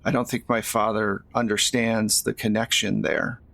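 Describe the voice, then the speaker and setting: A man playing a solemn young man in a tabletop game, talking in a grave, measured storytelling way. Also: on the low side, level tone, creaky, a bit bright, dry recording. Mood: grave, resolute.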